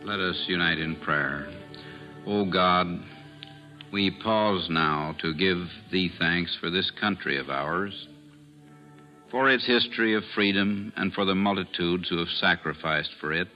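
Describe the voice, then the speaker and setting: A man's voice: sits low, unhurried, soft background music. A minister, a man, speaking a solemn prayer on an old radio broadcast. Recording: dull old radio recording.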